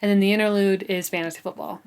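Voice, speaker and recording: A woman's voice: medium pitch, fairly even intonation, fast talker, a little mellow, clean tone. A woman with a mid-range voice talks casually as a book podcast co-host. Her delivery is conversational and wry, leaning hard on emphasis as she reacts. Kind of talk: casual chatty book discussion.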